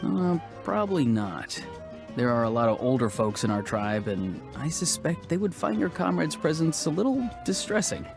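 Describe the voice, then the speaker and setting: Hesitant, laid-back young warrior. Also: male voice, a little high, big pitch swings, moderate pace, gravelly, over music. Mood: low-key. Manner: casual, hesitant, understated. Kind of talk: scripted video game voice acting.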